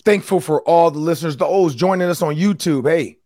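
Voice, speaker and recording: Hoarse guy hosting a sports podcast, kinda high voice, super dry close mic, chatty and upbeat. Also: brisk, warm tone, dry recording. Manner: upbeat, chatty, frequent restarts. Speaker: podcast host. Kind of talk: casual sports talk.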